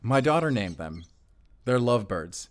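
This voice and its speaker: A man playing a friendly, self-effacing forest ranger and dad in an intimate audio roleplay, easygoing and gently teasing. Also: mid-range voice, very animated, normal speed, lightly acted. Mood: delighted, welcoming.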